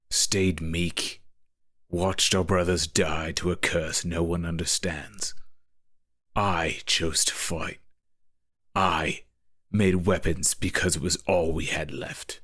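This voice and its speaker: Man playing a low, husky, ruthless elder brother. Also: fairly even intonation, fairly bright tone, no echo, clean studio sound, exaggerated voice. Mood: defiant, bitter. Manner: slow, defiant, cynical. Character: bitter, ruthless elder brother, fallen hunter leader. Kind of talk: gothic audio drama acting.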